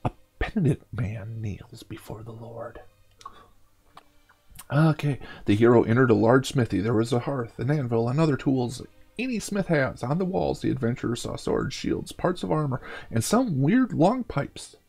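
Gravelly man narrating a storybook game. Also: mid-range voice, animated, normal speed, darkish tone. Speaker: gaming show host.

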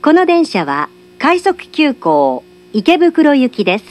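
A woman's voice: sits high, wildly swooping pitch, slow pace, darkish tone. A woman giving formal, polite, carefully enunciated scripted train announcements, recorded from a carriage speaker.

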